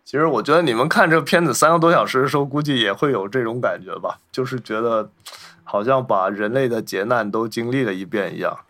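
A man's voice: mid-range voice, quick pace, fairly bright tone. A man hosting a film podcast, chatting casually and telling anecdotes in a wordy, repetitive way.

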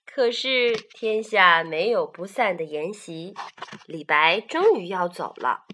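Bright-voiced woman telling stories to children. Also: fairly low pitch. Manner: slow, expressive storytelling for children. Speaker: narrator. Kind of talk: children's story narration.

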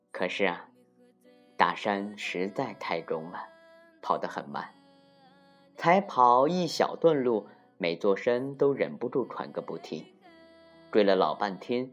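A woman narrating a folktale for children as an expressive storyteller, deliberate and with dramatic emphasis. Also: rumbling low. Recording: muffled lo-fi recording with music.

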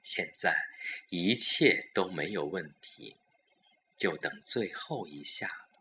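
A man narrating a scripted suspense story in a dramatic, deliberate storytelling manner. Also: low voice, lively intonation, bright, forceful tone, a little hiss or hum.

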